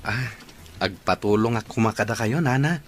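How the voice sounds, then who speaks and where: An actor playing a polite, eager young suitor in a radio drama, with an Ilocano accent. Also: male voice, fairly low pitch, animated, normal speed, a little hiss or hum, natural voice. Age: young adult.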